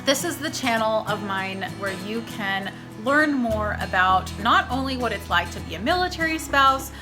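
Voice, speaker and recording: A young woman from Texas, chatting upbeat in a casual vlog introduction. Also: medium pitch. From Texas, USA. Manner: upbeat and chatty, with light laughs. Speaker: vlogger, military spouse.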